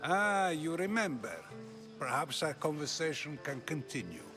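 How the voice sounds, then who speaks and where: An actor playing a genial, probing philosopher in a video game, theatrical and teasing. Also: male voice, a little high, sing-song, a little slow. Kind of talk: video game dialogue acting. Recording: music behind the voice.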